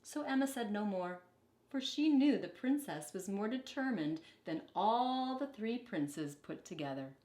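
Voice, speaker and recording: In an echoey room, a woman reads a picture book to children as a teacher would. She takes her time, shaping each phrase expressively at an unhurried pace, and pauses to explain things to young listeners. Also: medium pitch, animated, a little mellow. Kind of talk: children's storybook read-aloud with brief teaching asides.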